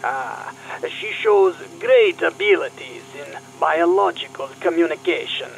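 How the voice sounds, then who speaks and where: Soviet scientist villain, Russian accent, high gravelly voice, very deliberate, radio drama. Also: male voice, slight background noise, rough recording. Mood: pleased, sinister. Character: gloating, deliberate male Russian KGB scientist. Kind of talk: Cold War radio play acting.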